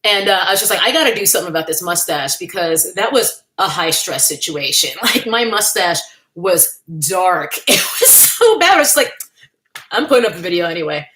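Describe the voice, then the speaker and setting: A woman's voice: lowish voice, expressive, normal speed, bright, forceful tone. A young adult woman hosting a casual livestream from home, chatting candidly with her viewers and laughing often. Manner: chatty and candid, with frequent laughs and playful asides. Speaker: livestream host. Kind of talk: casual livestream chat, reading the chat.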